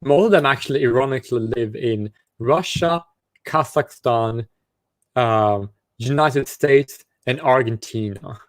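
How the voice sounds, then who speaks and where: A man from South Africa hosting a livestream, asking a stranger questions in halting, restart-heavy casual talk over a video call. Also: medium pitch, dry recording. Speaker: livestream host chatting with strangers.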